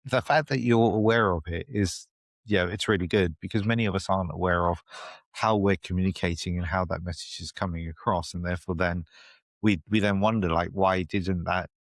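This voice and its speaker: A man's voice: low-pitched, fairly even intonation, a little fast, gravelly, dry recording, clean studio sound. A man with a British accent, a podcast guest explaining ideas in a reflective, conversational way, often adding "you know" and "right?". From United Kingdom. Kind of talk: conversational interview.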